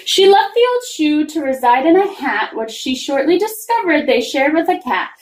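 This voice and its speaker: Female storyteller for children's picture book, very animated singsong read, roomy sound. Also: high-pitched, normal speed, slight background noise. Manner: expressive, singsong rhyming delivery. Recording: roomy, echoing room sound.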